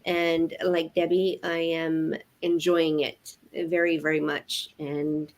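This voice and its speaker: An older woman, a grandmother, speaking as a guest in a live online business chat in an earnest, measured way. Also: deep voice, little pitch movement. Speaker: panel guest.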